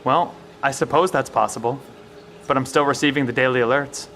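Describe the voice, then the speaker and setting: Actor as earnest bunker professor, male, mid-pitched, measured and explanatory, faint background noise. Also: steady tone, a bit bright, lightly acted. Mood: cautiously hopeful. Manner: explanatory, hesitant, reasoning. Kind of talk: audio drama acting.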